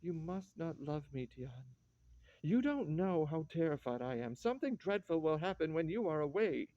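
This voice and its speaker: An actress playing a frightened, pleading young wife in a classic stage tragedy, emotional and earnest. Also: female voice, low voice, moderate pace, warm tone, dry recording, dull audio. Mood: desperate pleading.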